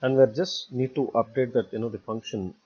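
A man narrating a step-by-step software tutorial in a halting way, repeating words as he goes. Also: medium pitch, fairly even intonation, husky. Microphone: voice-over recorded for screen capture. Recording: dull, muffled lo-fi voice recording.

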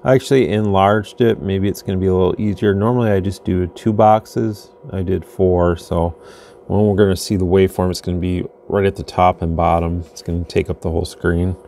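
Male demonstrator, low and mellow, off-the-cuff delivery, audible noise behind him. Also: fairly even intonation, a little fast. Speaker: radio product demonstrator. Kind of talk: casual unscripted hands-on demo narration. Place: indoor electronics workbench. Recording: noticeable background noise.

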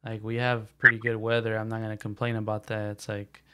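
A man's voice: fairly low pitch, completely flat delivery, fairly quick, pushed and bright, smooth. A young man from San Jose, talking as a podcast guest in a laid-back, slangy way and slipping Spanish phrases into his English. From raised in San Jose, California. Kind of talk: casual conversation.

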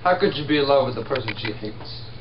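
A young man reading his parts flatly for a home puppet show of a classic play. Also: mid-range voice, quick pace, lo-fi sound, very noisy. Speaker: amateur sock-puppet performer.

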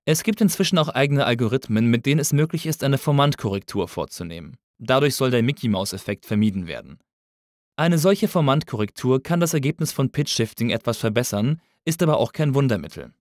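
A man reading a scripted tutorial as an instructor, in a measured, didactic manner. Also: medium pitch, animated, dry recording, studio quality. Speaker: audio engineering instructor. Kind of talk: scripted lesson narration.

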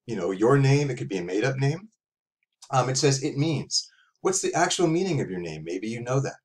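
Middle-aged man teaching casually. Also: mid-range voice. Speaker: author and professor teaching a writing exercise. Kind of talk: casual instructional talk to young writers. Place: small room at home, indoors.

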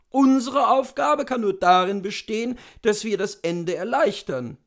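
A man's voice: high voice, heavily acted. A man voicing a sober, resigned doctor with a grave, matter-of-fact manner in a classic story reading.

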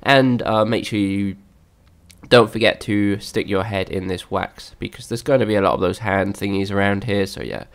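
A man's voice: on the low side, steady tone, moderate pace, gravelly. A young man with a slightly worn voice, casually narrating a game walkthrough with many fillers and restarts. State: slightly lost voice.

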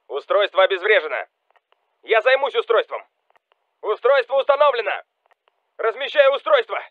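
An actor playing a Russian special forces soldier calling out commanding military game lines over a radio. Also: male voice, extremely high pitch, no room sound, phone-quality sound. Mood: alert, firm. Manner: urgent, commanding.

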